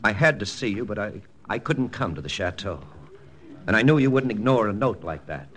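An actor playing a brisk American army lieutenant. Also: male voice, on the low side, animated, lightly acted. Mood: persuasive, firm. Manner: brisk, persuasive, matter-of-fact. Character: confident American army intelligence lieutenant, narrating. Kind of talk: 1940s radio drama acting and narration. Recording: old radio broadcast with music.

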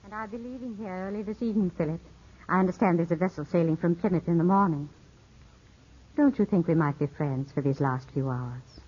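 An elegant widow, gently sorrowful and guarded, with measured, earnest delivery from 1950s radio drama. Her voice is veiled and breathy, on a dull old broadcast recording. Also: lowish voice. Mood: wistful.

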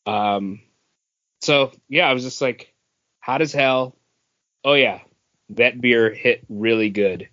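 A man's voice: mid-range voice, slow, long pauses, a bit bright. Man chatting casually, dull lo-fi sound. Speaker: podcast co-host. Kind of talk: casual podcast chat. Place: remote voice call from home. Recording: dull lo-fi internet call audio.

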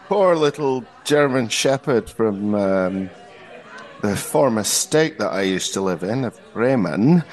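A man co-hosting a podcast in casual comic banter, questioning things in a hesitant, bemused way. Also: mid-range voice. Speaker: podcast co-host.

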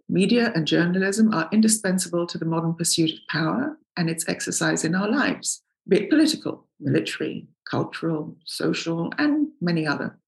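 An older woman hosting a podcast, reading a measured, scripted introduction with lively intonation. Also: on the low side, vocal fry, poor audio. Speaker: podcast host.

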